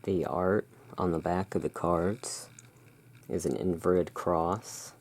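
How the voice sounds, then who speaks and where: A soft-spoken ASMR host roleplaying the owner of a gothic curiosity emporium, presenting cards in hushed, unhurried short phrases with pauses. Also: sits low, steady tone. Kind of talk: soft-spoken ASMR tarot card showing.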